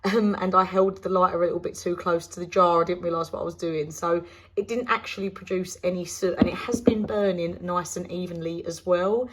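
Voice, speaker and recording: A woman from the UK with a British accent, chatting casually through a product review, her voice slightly croaky after laryngitis. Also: on the low side, steady tone, a little fast, poor audio. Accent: British English. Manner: chatty, rambling with many ums. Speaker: candle reviewer.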